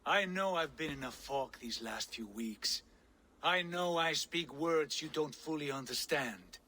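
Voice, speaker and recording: Casting note: bright, higher voice for a proud Viking seeking glory, solemn and grand; faint ambience under it. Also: male voice, measured pace, slight background noise. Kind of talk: video game cutscene.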